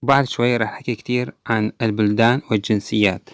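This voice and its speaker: A man teaching a language lesson, repeating each example phrase twice slowly and explaining quickly in between. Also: fairly low pitch, fairly even intonation, dry recording, clean studio sound. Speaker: English teacher.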